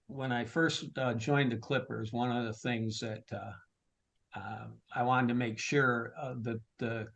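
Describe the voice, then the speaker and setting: A man's voice: medium pitch, fairly even intonation, slow, vocal fry, fairly bright tone. A man who works as a sports public address announcer, giving casual, conversational interview answers.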